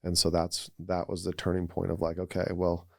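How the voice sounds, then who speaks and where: A man's voice: low-pitched, completely flat delivery, brisk, creaky, a little mellow, no room sound, clean studio sound. A man, thirty or older, talking casually and reflectively as a podcast guest, with an earnest, conversational way of telling personal stories. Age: 30s or older.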